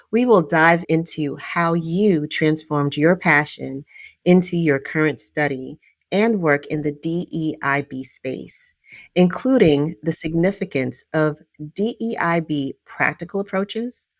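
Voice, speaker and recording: A woman hosting a podcast, reading an upbeat introduction and asking questions with bursts of enthusiasm. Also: dry recording. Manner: enthusiastic, with sudden upward pitch jumps. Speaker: podcast host. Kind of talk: scripted introduction and interview questions.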